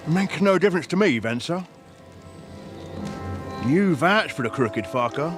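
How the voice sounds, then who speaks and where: Bandit chief, medieval game villain: slow, sneering, coarse, mocking, swinging intonation over score. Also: male voice, fairly high pitch, lively intonation, over music. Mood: menacing. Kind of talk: video game cutscene acting.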